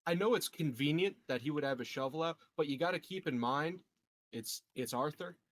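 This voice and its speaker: A man's voice: on the high side, brisk, slight background noise. A man chatting casually as a player in a tabletop roleplaying game, brash and given to swearing. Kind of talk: casual gaming chat.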